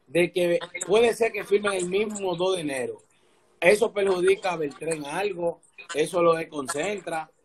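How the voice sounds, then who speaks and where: A man's voice: high-pitched, moderate pace. A middle-aged man with a Dominican accent hosting a casual sports interview over a video call, with informal, slangy talk. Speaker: interviewer, show host. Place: indoor room, remote video call. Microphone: video call, device at arm's length.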